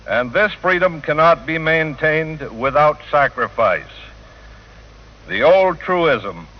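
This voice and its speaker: A man, a government official, reading a solemn formal message with measured pauses on an old 1940 radio broadcast. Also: fairly high pitch, lo-fi sound. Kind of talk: solemn formal read speech.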